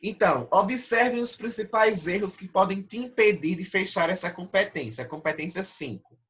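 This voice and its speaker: A man's voice: high voice, animated, normal speed, a bit bright. A male teacher with a Brazilian Portuguese accent, nasal from allergic rhinitis, explains essay criteria step by step in an online class and keeps checking with his students.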